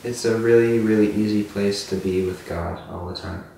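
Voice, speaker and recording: A man's voice: on the low side, fairly even intonation, moderate pace, mellow. A man, a student, speaking casually in an interview. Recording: very echoey, distant mic, background noise.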